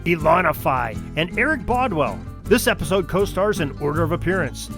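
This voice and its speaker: A man reading the closing credits of an audio drama at a rapid pace. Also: fairly high pitch, music in the background. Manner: rapid, lively announcing. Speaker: announcer. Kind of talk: credits read.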